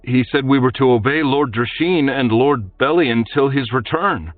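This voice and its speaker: A man's voice: medium pitch, normal speed, dry recording, narrow, tinny audio, faint music behind, voice pushed up, close to an everyday voice. A man voicing a baffled guardsman who answers a noblewoman meekly. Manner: hesitant, meek. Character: baffled, deferential male guardsman.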